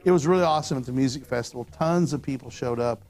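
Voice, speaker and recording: An older man hosting an astronomy show in a studio, hoarse after a weekend of talking, with a folksy, rambling way of telling stories. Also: medium pitch, fast talker, darkish tone. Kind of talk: casual studio chat. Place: studio with telescopes on display.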